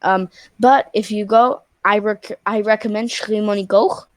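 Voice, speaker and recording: A woman's voice: mid-range voice, steady tone, a little slow, no room sound. A young girl of about twelve, from Spain, chatting casually on a video call and filling her talk with "like" and restarts. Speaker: stranger on a video chat.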